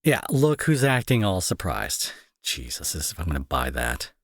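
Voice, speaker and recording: A man's voice: low-pitched, huge pitch swings, moderate pace, no echo, studio quality, full character voice. A young man playing an irritable, sarcastic bully who scolds with hidden worry, in an intimate roleplay audio drama. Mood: irritated, demanding. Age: young adult. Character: irritable young male bully, gruff but secretly worried.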